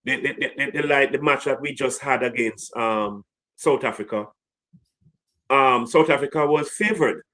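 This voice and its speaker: A man with a Caribbean English accent, a co-host analysing sport in a halting, careful way. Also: mid-range voice, a bit bright. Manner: halting, many fillers and restarts.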